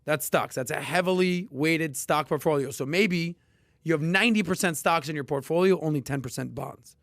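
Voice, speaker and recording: A man hosting a casual podcast and explaining investing in an emphatic, animated way, with sudden loud bursts. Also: a little high, normal speed, no room sound, studio quality. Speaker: podcast host.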